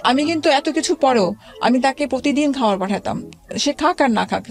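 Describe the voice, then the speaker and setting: A middle-aged woman with a Bangladeshi accent tells her own life story as an interview guest, often breaking off and restarting her words. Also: on the high side. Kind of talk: personal storytelling in a radio interview.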